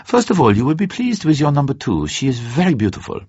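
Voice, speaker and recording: A man reading an audiobook in character as a jovial, theatrical French secret agent, animated and conspiratorial, savouring his words. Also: mid-range voice, fast, breathy edge, dry recording, lo-fi sound, pitched above the performer's own voice. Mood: earnest, confiding.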